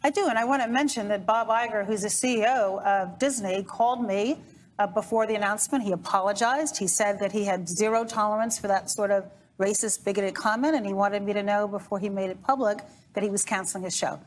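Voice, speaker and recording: A woman's voice: medium pitch, a little fast, a little hiss or hum. A woman giving firm, composed answers in an interview.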